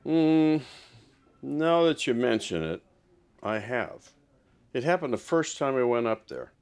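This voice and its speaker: A man's voice: mid-range voice, big pitch swings, slow pace, vocal fry, darkish tone, smooth, full character voice. A man playing a polite, helpful small-town sheriff's deputy in an audio drama. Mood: thoughtful.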